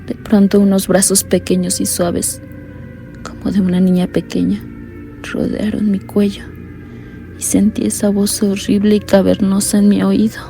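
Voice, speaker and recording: A woman narrating a horror radio drama in a hushed, fearful first-person telling. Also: medium pitch, flat delivery, normal speed, creaky, faint music behind.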